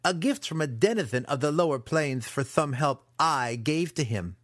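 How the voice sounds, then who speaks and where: A man voicing a sly, cruel young male dark elf apprentice in an audiobook, taunting as he schemes. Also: fairly high pitch, animated, normal speed, no room sound, studio quality, pitched above the performer's own voice. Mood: demanding. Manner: taunting, matter-of-fact scheming. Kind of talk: audiobook character acting.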